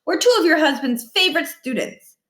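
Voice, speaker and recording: A woman's voice: high voice, animated, a little fast, fairly bright tone, roomy sound, pitched above the performer's own voice. A woman voicing an earnest, polite and insistent schoolboy character in a children's storybook reading.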